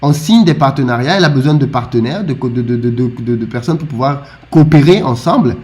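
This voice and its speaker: A man from Africa talking in a casual discussion, animated and often stumbling over repeated words as he restarts. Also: mid-range voice, a little fast. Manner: animated, with frequent stammered restarts.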